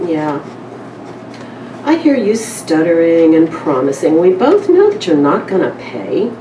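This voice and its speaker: A woman voicing a sly male bill collector who delivers insinuating, deliberate predictions of doom in a story read aloud. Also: deep voice, very animated. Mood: sly, accusing. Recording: roomy, distant live recording with noise.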